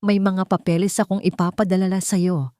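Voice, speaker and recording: A woman voicing a quiet, remorseful young man who speaks softly and low. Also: flat delivery, moderate pace, no room sound, clean studio sound, lightly acted. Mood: tender.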